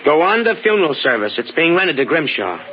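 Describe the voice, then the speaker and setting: An actor playing a surly, sarcastic American hearse driver in a radio drama, gruff and needling. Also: male voice, a little high, big pitch swings, brisk. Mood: surly, suspicious. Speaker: radio actor in a supporting part. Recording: dull, noisy old radio recording.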